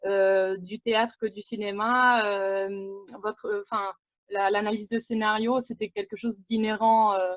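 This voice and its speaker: Woman with Italian accent, polite and halting questioner, dry and dull video-call audio. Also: mid-range voice, flat delivery, dry recording. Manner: hesitant, many fillers. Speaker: audience member asking a question.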